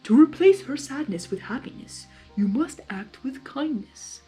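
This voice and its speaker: A woman voicing a wise, cryptic female zebra seer in a storybook reading, measured and knowing. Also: high-pitched, expressive, mellow, faint music behind, pitched above the performer's own voice, low-quality recording. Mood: instructive. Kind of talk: dramatized fanfiction storytelling.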